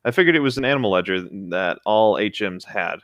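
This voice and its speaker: A man with a slightly low voice reads a story aloud in a casual manner, dropping in light joking remarks. The sound is close and dry. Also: animated, a little fast, fairly bright tone, dry recording. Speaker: co-host reading internet horror stories aloud. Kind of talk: casual story read-along with joking asides.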